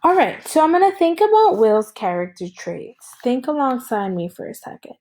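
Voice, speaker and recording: A woman reading a story aloud as a teacher and thinking out loud, checking in with listeners in an instructional way. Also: high-pitched, very animated, moderate pace. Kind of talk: read-aloud lesson with think-aloud commentary.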